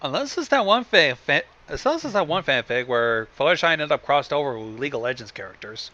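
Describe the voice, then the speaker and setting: A man's voice: a little high, very animated, a little fast, bright, forceful tone. A man co-hosting with casual banter, sardonic, blunt and sweary, recorded on a lo-fi microphone. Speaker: co-host commenting on the reading. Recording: dull lo-fi microphone sound.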